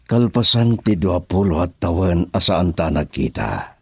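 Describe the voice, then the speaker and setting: An actor playing an older man pressing an old flame to talk, gravelly and husky, with an Ilocano Filipino accent, in a radio drama. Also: sits low, expressive, a little slow, dry recording, exaggerated voice. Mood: pleading.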